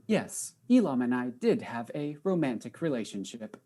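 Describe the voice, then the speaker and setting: A woman acts an aloof, soulless AI in a comic space drama. The voice is very low and dark, with formal, deadpan phrasing. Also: normal speed. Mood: cool, deadpan. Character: aloof, soulless AI that had a love affair.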